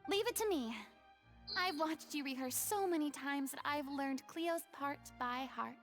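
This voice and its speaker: A woman's voice: high voice, a little slow. A woman voicing a proud, theatrical young woman director and stage star in a video game, with grand diction. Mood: resigned. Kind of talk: video game character acting. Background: game music.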